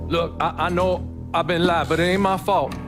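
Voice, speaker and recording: A man playing a jittery gangland flunky from a video game. His high male voice stammers and pleads over loud music. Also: steady tone, normal speed, close to an everyday voice. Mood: frightened pleading. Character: nervous, pleading male underling of a mob boss. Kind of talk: video game cutscene acting. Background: loud background music.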